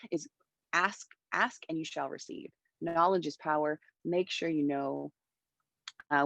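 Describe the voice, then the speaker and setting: A woman's voice: sits low, no echo, highs cut off. A woman in her thirties giving mentoring advice on a video call, talking with energy and many hand gestures. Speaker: music mentor. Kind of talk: panel talk on recording. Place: home room with bright wall. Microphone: wireless earbuds on video call.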